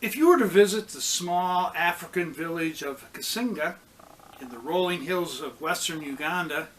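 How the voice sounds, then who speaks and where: A man, a pastor, reading a children's story aloud in a deliberate, expressive way with pauses. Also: fairly high pitch, slight background noise, low-quality recording.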